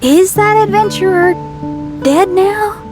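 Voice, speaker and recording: A woman's voice: very high voice, slow pace, loud music behind the voice. A woman voicing a small, childlike, curious companion creature in a video game.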